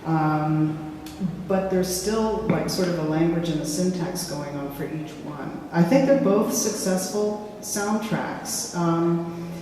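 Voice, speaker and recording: A woman filmmaker speaking casually in a public discussion, halting and searching for words with frequent restarts. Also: fairly low pitch, dark tone. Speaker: filmmaker in a post-screening discussion. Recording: echoey hall from far off, faint hiss.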